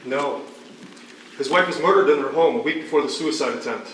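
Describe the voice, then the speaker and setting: An actor playing a matter-of-fact, wryly sympathetic hospital doctor in naturalistic stage dialogue. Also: male voice, on the high side, a little fast, fairly bright tone, natural voice. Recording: echoey distant stage recording, some noise.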